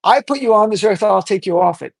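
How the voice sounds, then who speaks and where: A man's voice: sits high, lively intonation, fairly quick, vocal fry, husky, no echo, clean studio sound. A middle-aged American man hosting a talk show in a conversational interview style.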